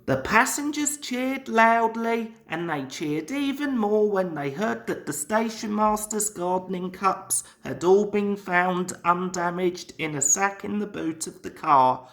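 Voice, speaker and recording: Woman reading a kids story out loud, real slow n measured, medium pitch. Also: expressive. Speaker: storybook reader. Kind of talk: scripted children's story narration.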